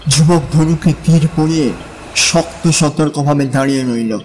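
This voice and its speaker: A man narrating a dramatized audio story with measured, suspenseful phrasing. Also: a little high, darkish tone, slight background noise.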